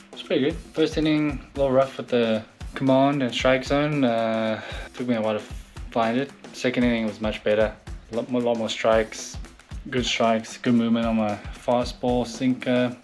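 A man from South Africa talks with a softened South African accent in a relaxed, matter-of-fact way. His voice sits at an ordinary middle pitch, picked up by a phone camera held at arm's length. Faint music plays underneath. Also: moderate pace. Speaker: professional baseball pitcher vlogging. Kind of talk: casual video diary. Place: hotel room and city street.

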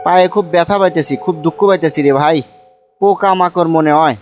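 A man's voice: on the high side, steady tone, fast, highs cut off, close to an everyday voice. A man voicing a hardworking, lonely cartoon son, pleading and wounded, in a plaintive Bogura dialect of northern Bangladesh. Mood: pained. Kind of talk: amateur comedy cartoon dubbing. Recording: music behind the voice.

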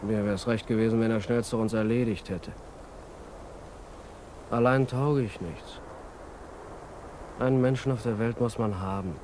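A man's voice: lowish voice, fairly even intonation, a little slow, pauses often, a little mellow, lightly acted. An actor playing a restless, rebellious young man in a 1950s German radio play, blunt and moody. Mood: brooding. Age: young adult. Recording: 1950s radio recording, faint noise.

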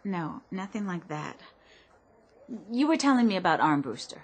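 An actress playing a troubled, bewildered female customer in a radio drama, speaking haltingly as if unsure of her own mind. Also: fairly low pitch, very animated, breathy edge. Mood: uneasy, searching.

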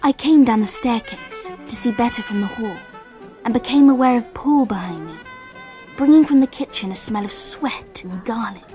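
Radio drama lead: woman playing sensitive girl on the edge of womanhood, lightish high voice, hushed and wistful, music underneath. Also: natural voice. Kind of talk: radio drama, first-person narration.

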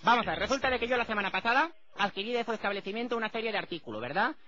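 Shrill, prissy Spanish complainer. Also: male voice, animated, racing, pushed and bright. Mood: indignant complaint. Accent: Spain. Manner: pompous, wordy, repeating himself indignantly. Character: prissy, easily offended, high-voiced male customer complaining. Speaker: prank caller. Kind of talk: prank phone call in character. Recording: dull, lo-fi phone prank recording.

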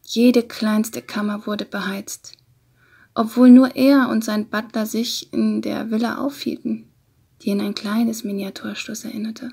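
A woman reading literary narration in a breathy voice that often slips toward a whisper. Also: on the high side, little pitch movement, a little slow, mellow. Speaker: audiobook narrator.

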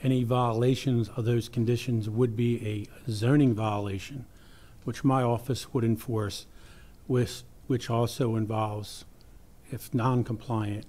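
County zoning guy, matter-of-fact, mellow dark voice. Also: medium pitch, steady tone, unhurried. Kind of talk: answering a board's question. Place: large hearing room, indoors.

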